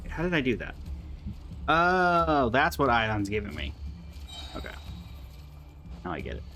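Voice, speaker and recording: A man's voice: medium pitch, huge pitch swings, a little slow, sharp tone, a little hiss or hum. A man giving casual live commentary while playing a video game, with dry, muttered asides to himself and the game. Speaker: gaming streamer reacting to gameplay.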